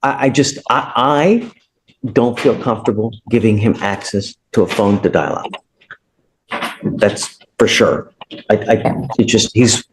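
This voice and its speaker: An American man speaking as a judge in a courtroom discussion, plain-spoken and firm, often halting and repeating himself. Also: lowish voice, slight background noise, poor audio.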